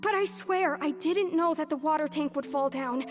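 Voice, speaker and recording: A woman's voice: sits high, normal speed, natural voice. An actress playing a nervous young woman thief, earnestly confessing and pleading her innocence, over game music. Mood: anxious. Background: game score music.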